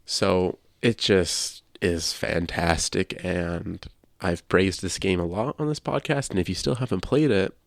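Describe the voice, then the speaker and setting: A man's voice: on the low side, gravelly, no echo. A man hosting a podcast, sharing his opinions in casual discussion. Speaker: podcast host.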